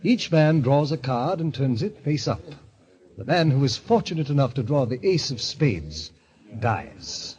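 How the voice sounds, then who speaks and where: An actor playing a suave, sinister middle-aged club president in old radio style, with pompous, florid, genteel phrasing. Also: male voice, medium pitch, very animated, unhurried, darkish tone. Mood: suave, savoring. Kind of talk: 1940s radio acting. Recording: dull, lo-fi vintage radio recording.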